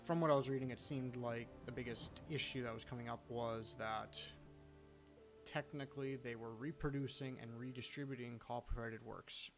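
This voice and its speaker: A man giving a casual, unscripted screen-recording walkthrough of his own software, halting as he thinks aloud. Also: mid-range voice, fairly even intonation, over music. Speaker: software hobbyist demonstrating own add-on. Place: indoors, at a computer recording the screen.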